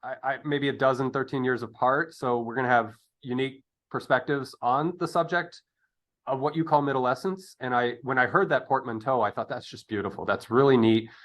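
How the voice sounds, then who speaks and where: A man's voice: mid-range voice, steady tone, brisk, a bit bright. A man interviewing in a casual chat, jokey and affirming, feeling his way through the setup of his questions. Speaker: podcast interviewer.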